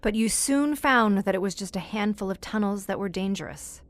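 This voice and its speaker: An actress voices an inquisitive, educated woman in an audio horror play. Her medium-pitched voice stays composed. She phrases things formally and probes with careful questions. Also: moderate pace, close to an everyday voice. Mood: curious, reasoning. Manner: formal, literate, probing. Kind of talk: audio horror drama acting.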